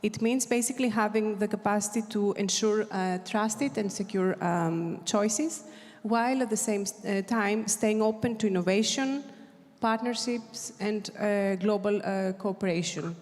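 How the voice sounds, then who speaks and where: A woman's voice: mid-range voice, measured pace, a little mellow. Woman panelist in a reverberant hall. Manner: hesitant, many fillers and restarts. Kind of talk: conference panel remarks. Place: conference hall.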